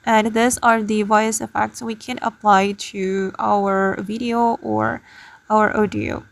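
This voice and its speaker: A woman's voice: medium pitch, flat delivery, slow pace, creaky. A woman hosting a casual tutorial, explaining things step by step to her viewers. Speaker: tutorial host. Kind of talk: casual spoken screen tutorial.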